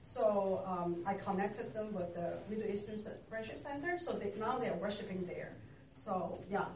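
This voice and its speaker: A woman speaks at a medium pitch, slowly and haltingly, pausing often to find her words. She is picked up from some distance in an echoey church hall with clear background noise around her. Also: a little mellow. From Hong Kong. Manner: unhurried, halting, searching for words. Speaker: interview guest, church ministry worker. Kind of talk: casual interview. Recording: echoey room, distant mic, noisy.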